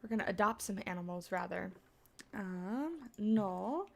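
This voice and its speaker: A woman's voice: mid-range voice, expressive, measured pace, vocal fry. Congested woman rambling on a livestream. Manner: rambling, many fillers and restarts, reads chat aloud. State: congested, sniffly. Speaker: livestream host. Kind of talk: casual livestream chat.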